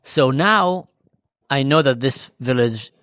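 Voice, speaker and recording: A young man telling a long story in casual podcast chat, animated and full of quick restarts. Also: mid-range voice, no room sound, clean studio sound.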